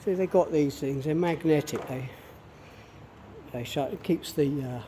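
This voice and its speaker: A hoarse man ambles through an outdoor city street, talking slowly and unhurriedly to a handheld camera. His voice sits a bit higher than most men's. Clear traffic noise rolls along behind him. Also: dark tone. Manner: rambling, unhurried, pauses and restarts. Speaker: vlogger. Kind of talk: casual walking vlog.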